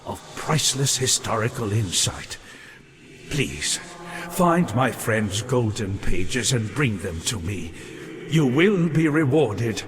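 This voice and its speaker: An actor playing a courteous, lonely undead scholar in a fantasy video game, speaking in a formal, ornate, halting and earnest way. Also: male voice, medium pitch. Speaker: non-player character in a fantasy video game. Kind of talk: theatrical video game character acting. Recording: long reverberant hall, background hiss and ambience.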